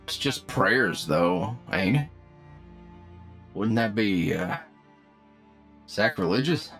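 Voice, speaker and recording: Slow, wry sci-fi crewmate played by a man. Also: lowish voice, expressive, a bit bright, faint music behind, heavily acted. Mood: skeptical. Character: wry, laid-back, folksy male sci-fi crewmate. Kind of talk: anime-style audio roleplay acting.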